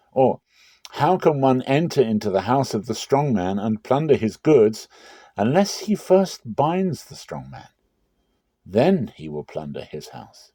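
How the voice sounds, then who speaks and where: A man's voice: mid-range voice, warm tone, no room sound, studio quality. A man voicing an authoritative, earnest male teacher in a dramatic scripture reading, with emphatic, rhetorical preaching.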